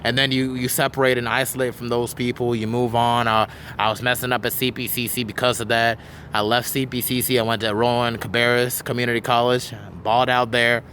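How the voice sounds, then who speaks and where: Young man, podcast host, rapid delivery, earnest casual chat. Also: mid-range voice, little pitch movement, a bit bright, slight background noise. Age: 20s. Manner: talkative, earnest, quick storytelling.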